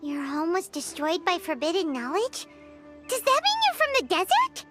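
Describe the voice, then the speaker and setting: A woman voicing a small, childlike fairy companion in an anime-style game, cheeky, excitable and quick to take offence. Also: very high voice, over music, heavily acted. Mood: curious. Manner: refers to self in third person, exclamatory.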